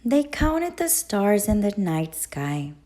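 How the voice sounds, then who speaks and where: A woman who is a teacher, reading a picture book aloud to children in a gentle, expressive storytelling manner. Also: mid-range voice, slow.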